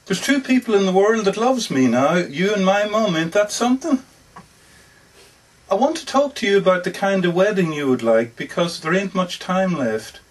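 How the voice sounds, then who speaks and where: A man reads as a lovestruck working man pouring out a heartfelt letter. He is plainspoken and folksy, with a fairly high-set voice that is slightly husky. The sound comes from a little way off the microphone at a live story reading. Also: animated, moderate pace. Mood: tender. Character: earnest, lovestruck working-class man from Georgia writing letters. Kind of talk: dramatic reading of a first-person story. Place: bookshop book launch. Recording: distant mic at a live reading.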